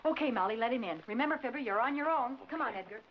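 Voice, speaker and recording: A woman playing a brisk, bossy young woman giving orders in a 1940s film comedy. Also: on the high side, lively intonation, lightly acted. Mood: urgent. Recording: dull, hissy old film soundtrack.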